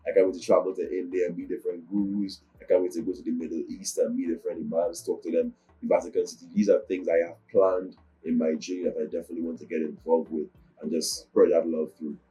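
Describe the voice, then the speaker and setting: Young Nigerian man, Yoruba native, low and dark voice, measured and earnest interview guest. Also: rough recording. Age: young adult. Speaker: guest musician. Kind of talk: interview answers.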